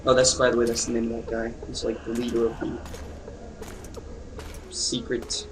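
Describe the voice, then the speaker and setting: A man's voice: medium pitch, completely flat delivery, normal speed, noisy background. A young man giving casual, hesitant, rambling let's play commentary as a gaming video host. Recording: slightly distant mic, loud game music. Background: loud video game music.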